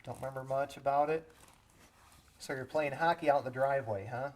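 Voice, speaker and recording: A man's voice: mid-range voice, fairly quick. A man working as an EMT, talking a child patient through each step simply and reassuringly. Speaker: EMT with a rescue squad.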